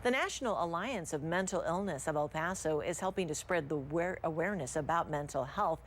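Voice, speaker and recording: American woman, TV news anchor, slightly low voice, scripted read, faint noise behind. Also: expressive, fairly quick.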